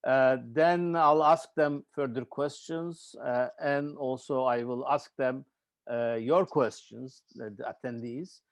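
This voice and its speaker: A man from Turkey with a Turkish accent, moderating an online panel in a halting, hesitant way with frequent repeated words. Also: medium pitch, steady tone, no room sound. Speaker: webinar host and moderator. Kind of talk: informal spoken introduction of a panel. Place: online video webinar.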